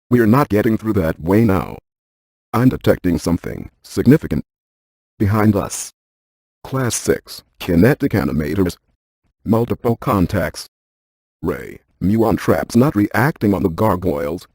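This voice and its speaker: A man voicing a brainy, unflappable ghost-hunting scientist in a video game, with precise, clinical, deadpan delivery. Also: sits low, slightly rough, dry recording, exaggerated voice. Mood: urgent, focused. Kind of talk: scripted game voice lines.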